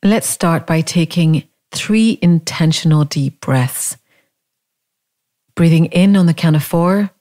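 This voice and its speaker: Low-pitched, slow, mellow woman guiding meditation. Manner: calm, gentle, unhurried, with long pauses. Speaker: meditation guide. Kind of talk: guided body scan meditation.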